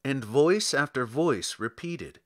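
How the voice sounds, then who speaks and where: A man narrating literary fiction in a theatrical, deliberate way, drawing out phrases with pauses between them. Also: medium pitch, lively intonation. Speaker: narrator reading a short story.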